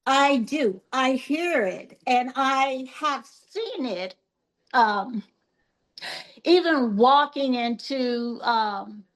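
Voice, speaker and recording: An older woman guest on a podcast, talking in a soft-spoken, measured and earnest way, with many pauses and fillers. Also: high-pitched, animated. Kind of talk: reflective interview talk.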